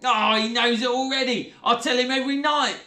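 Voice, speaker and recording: Shrill brassy stepmom done by a guy, loud and coarse, way up high, roomy echo. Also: fairly even intonation, far from the mic, exaggerated voice. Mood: furious. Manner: shrill, brash, drawn-out oohs. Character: loud coarse spiteful middle-aged stepmother.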